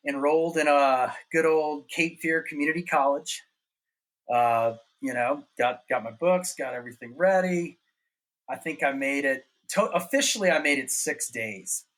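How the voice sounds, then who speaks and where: A man's voice: medium pitch, a bit bright. Laid-back middle-aged man telling stories. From North Carolina. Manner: laid-back, rambling, laughs often. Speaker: podcast guest, former pro snowboarder. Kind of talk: casual storytelling.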